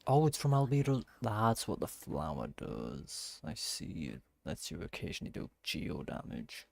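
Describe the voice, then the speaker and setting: A man's voice: deep voice, huge pitch swings, normal speed, vocal fry, darkish tone, no room sound. A man giving casual gameplay commentary, musing aloud to himself in short reactions. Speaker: gamer playing through a story quest. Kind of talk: casual game playthrough commentary.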